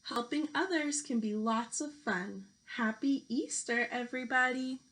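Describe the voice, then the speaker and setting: A woman teacher reading a children's picture book aloud, speaking deliberately to young listeners. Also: a little high, fairly bright tone, rough recording. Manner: slow, deliberate delivery addressed to young children. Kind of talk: children's storybook read-aloud.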